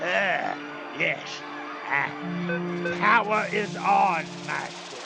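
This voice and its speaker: Creaky, halting, cringing henchman. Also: high voice, exaggerated voice. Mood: servile, nervous. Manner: halting, servile. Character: cringing, obedient villain's henchman. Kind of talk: old-time radio horror comedy acting. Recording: loud music behind the voice.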